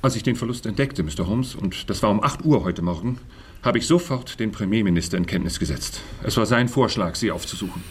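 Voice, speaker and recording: An actor playing an elegant, anxious middle-aged minister in a radio drama, giving a formal, precise and earnest account in a husky voice. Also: male voice, fairly low pitch, expressive, fairly quick, slight background noise, lightly acted. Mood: troubled, precise.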